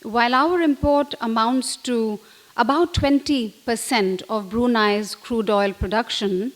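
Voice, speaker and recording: A woman's voice: fairly high pitch, unhurried. A middle-aged woman from India, giving an unscripted briefing as an ambassador, hesitant, with many fillers and restarts. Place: conference room.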